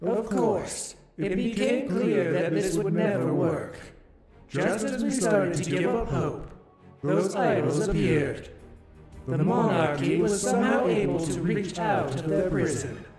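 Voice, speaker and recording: A man voicing a solemn, ancient otherworldly ruler in a visual novel, making grave, deliberate, theatrical pronouncements. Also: high-pitched, lively intonation. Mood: measured, explaining. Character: solemn, ancient otherworldly ruler, calmly justifying terrible deeds. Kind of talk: dramatic visual novel voice acting. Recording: echoing voice under loud music.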